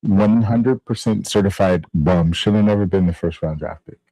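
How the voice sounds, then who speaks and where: A man's voice: low voice, normal speed, mellow, dry recording, rough recording. A man co-hosting a podcast, explaining basketball in a laid-back way, dropping bro and man. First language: Spanish. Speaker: podcast co-host. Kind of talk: casual sports chat.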